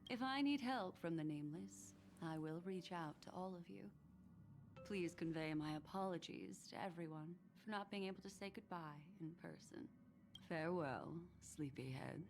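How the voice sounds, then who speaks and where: A mysterious, elegant woman played by an actress from a video game. She speaks softly and with composure in a lowish, breathy voice. Faint music sits behind her. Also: moderate pace, close to an everyday voice. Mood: tender farewell. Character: gentle, mysterious, elegant woman with a soft teasing streak.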